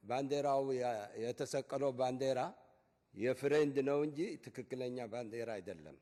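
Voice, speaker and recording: A man speaking slowly and hesitantly at a city council public comment, with many fillers. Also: mid-range voice, warm tone. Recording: echoey council chamber.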